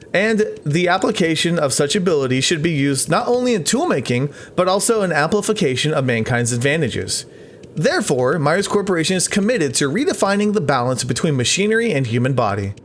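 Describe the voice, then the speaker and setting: A man with a slightly high-pitched voice provides chatty gameplay commentary. He reads game text aloud and talks to the characters as he plays. There is noise in the background of the recording. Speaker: let's play host.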